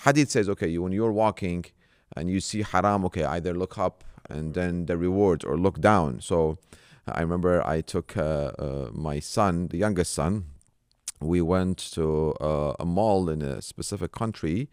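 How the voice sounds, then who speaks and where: Low-voiced middle-aged male Islamic scholar. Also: moderate pace, darkish tone, clear, resonant tone, no echo, studio quality. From Iraq. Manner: explains with simple examples and rhetorical questions, Arabic religious terms mixed in. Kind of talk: conversational religious teaching in an interview.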